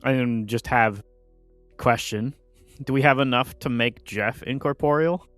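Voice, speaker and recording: Male player, brisk plans, mid-pitched, close dry sound. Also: a bit bright, no room sound, faint music behind. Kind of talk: tabletop game chat.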